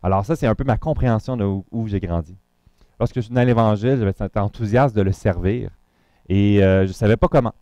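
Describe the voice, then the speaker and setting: Mellow Quebec French man, dry close sound. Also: fairly low pitch, fast, dry recording, muffled recording. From Montreal, Quebec. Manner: rambling, many fillers and restarts. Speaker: guest pastor. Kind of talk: introducing himself to a congregation.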